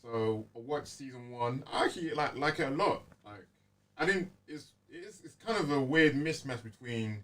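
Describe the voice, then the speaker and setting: A man's voice: mid-range voice, lively intonation, normal speed, fairly bright tone, far from the mic. Man, slightly off-mic, thoughtful. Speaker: podcast co-host. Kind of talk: casual chat.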